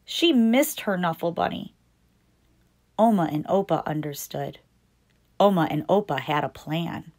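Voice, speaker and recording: A woman reading a picture book aloud as a storytime host, deliberate and expressive for young children. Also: fairly low pitch. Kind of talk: scripted picture-book narration read aloud.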